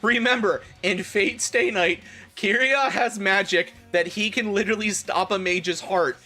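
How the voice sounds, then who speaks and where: A man streams a comic dramatic reading with running commentary over faint music. His voice sits fairly high and is bright and forceful, delivered in an animated, theatrical way. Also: unhurried.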